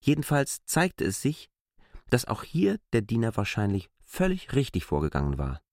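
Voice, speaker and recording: A man reads literary prose as an expressive storyteller. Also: medium pitch, moderate pace, no room sound, clean studio sound. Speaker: narrator of a literary classic. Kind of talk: scripted literary narration.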